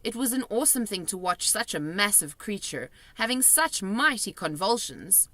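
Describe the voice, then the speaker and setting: A woman reading a children's storybook aloud in an animated narrating style. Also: medium pitch, moderate pace, dry recording. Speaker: storybook reader.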